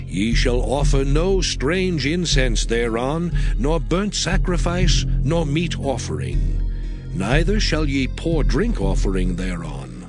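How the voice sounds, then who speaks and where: A man playing a solemn voice of divine instruction in a dramatized scripture reading, deliberate and emphatic. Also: medium pitch. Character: solemn male voice reciting God's detailed sacred instructions.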